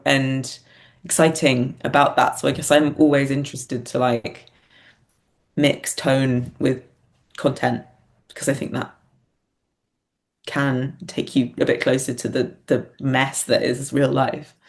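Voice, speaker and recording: A woman's voice: very deep voice, little pitch movement, a little slow, pauses often. Reflective woman, casual interview talk. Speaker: podcast guest, writer and performer.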